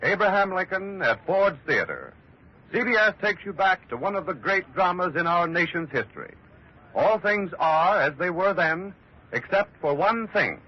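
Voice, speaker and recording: A man's voice: sits high, animated, moderate pace. A man reading dramatic scripted narration as a 1940s radio announcer. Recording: muffled old radio recording.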